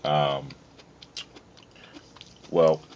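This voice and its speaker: A young man from Florida's Tampa Bay with a deep voice, chatting as a podcast guest in a laid-back way and laughing often. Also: flat delivery, extremely slow, darkish tone, slight background noise. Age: young adult. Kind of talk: casual interview chat. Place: outdoor covered porch at a farm nursery. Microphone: phone recording on the table. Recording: slightly dull lo-fi phone audio.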